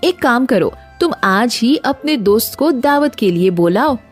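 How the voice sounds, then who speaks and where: Woman acting a high-voiced, fretful cartoon village wife. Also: animated, a little slow, breathy edge, faint music behind. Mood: eager. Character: dutiful, fretful young wife of a village woodcutter. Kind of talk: Hindi cartoon story dialogue.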